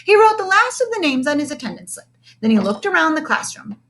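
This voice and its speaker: Very bright, animated woman reading a storybook. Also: sits high, fast talker. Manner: expressive, acts out each character's dialogue. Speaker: reader reading a children's book aloud. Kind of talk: animated storybook read-aloud.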